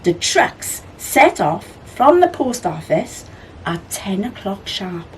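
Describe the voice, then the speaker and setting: A woman narrates a children's story in an expressive, animated way. Her medium-pitched voice rises and falls a great deal, with faint background noise. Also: slow. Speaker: library storyteller.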